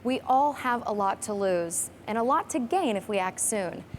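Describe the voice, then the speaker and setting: A woman's voice: mid-range voice, expressive, moderate pace. A woman hosting a program, presenting scripted segments to viewers. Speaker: program host. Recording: clear background noise.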